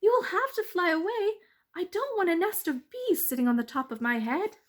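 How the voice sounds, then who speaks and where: A woman's voice: extremely high pitch, expressive, normal speed, voice pushed up. A woman voicing a small, clever storybook mouse with an emphatic, coaxing delivery. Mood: eager, insistent. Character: small clever mouse, pleading yet quick-witted storyteller. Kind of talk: children's storybook character voice.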